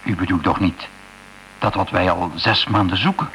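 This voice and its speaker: A low-pitched male official in a radio drama. He is courteous and eager, with a polite, slightly officious manner. The recording carries a faint hiss. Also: steady tone, normal speed, bright, forceful tone, rough recording. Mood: polite, intrigued. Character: courteous, eager male monuments inspector and exhibition president. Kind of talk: radio play acting.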